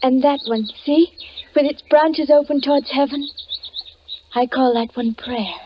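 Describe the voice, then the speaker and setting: An actress playing a gentle, trusting young blind peasant woman, earnest and tender in 1940s radio drama style. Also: sits high, fairly even intonation, a little slow. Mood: dreamy. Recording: muffled, noisy 1940s radio recording.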